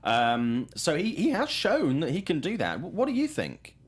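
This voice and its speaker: A man's voice: fairly high pitch, huge pitch swings, normal speed, husky. A man hosting a talk show, giving conversational film commentary and thinking aloud as he goes.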